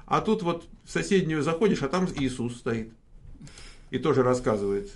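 A man's voice: medium pitch, sing-song, normal speed, a little hiss or hum. A man hosting a casual live question-and-answer stream, joking and rambling with many fillers. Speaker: host.

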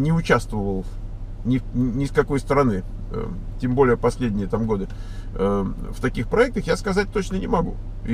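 A man casually talking to his viewers while driving, thinking aloud with many hesitations and fillers. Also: medium pitch. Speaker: vlogger on immigration to America. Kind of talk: casual vlog monologue answering viewer questions. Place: car, driving. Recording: recorded in a moving car with road noise. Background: car and street noise.

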